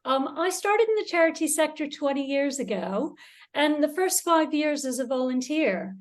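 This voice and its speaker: Female panelist, high-pitched, measured and reflective self-introduction. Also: animated. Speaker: panelist, charity fundraiser.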